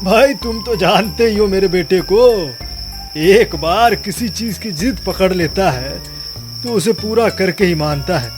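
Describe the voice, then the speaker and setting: A man's voice: high voice, a little slow, over music. A man voicing a wealthy, scheming village headman who coaxes persuasively in a cartoon moral story. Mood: coaxing. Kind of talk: animated moral bedtime story.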